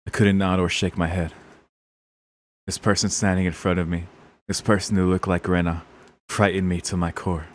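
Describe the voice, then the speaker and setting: Low-voiced man reading a visual novel. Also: flat delivery, normal speed, a little hiss or hum. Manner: dramatic, sweary reactions. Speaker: streamer playing a visual novel. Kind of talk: reading game narration aloud with casual reactions. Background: game music.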